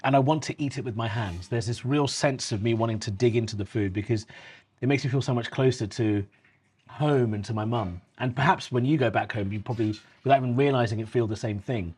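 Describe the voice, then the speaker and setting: A man in his thirties hosting a relaxed chat over a meal, talking in a reflective way. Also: medium pitch, a little fast, husky. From England. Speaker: host.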